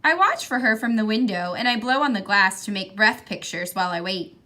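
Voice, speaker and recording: Bright-voiced woman reading a children's story. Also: medium pitch, lively intonation, fairly quick. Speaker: read-aloud reader. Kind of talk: expressive children's picture book reading.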